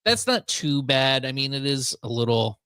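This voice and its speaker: A man's voice: medium pitch, very animated, moderate pace, pushed and bright, clear, resonant tone, no room sound, studio quality. Male radio host, conversational and easygoing with a wry touch, mid-range voice, very little room. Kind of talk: casual talk radio chat.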